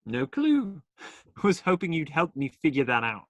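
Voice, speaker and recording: An actor playing a bold, roguish, idealistic outlaw hero in a radio play. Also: male voice, a little high, wildly swooping pitch, unhurried, a bit bright, no room sound, heavily acted. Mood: roguish persuasion.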